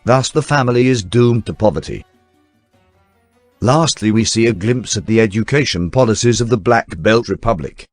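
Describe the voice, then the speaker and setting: A man reading scripted newsreel documentary narration in a formal, measured announcer style. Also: on the low side, expressive, no echo. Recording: dry voice-over with faint music bed. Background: faint background music.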